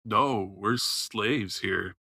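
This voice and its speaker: Man doing a halting, low-voiced young alien prisoner. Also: vocal fry, sharp tone, no echo, studio quality, heavily acted. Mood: uncertain, agreeable. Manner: halting, hesitant, stammering. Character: timid young male Mirialan alien prisoner, friendly.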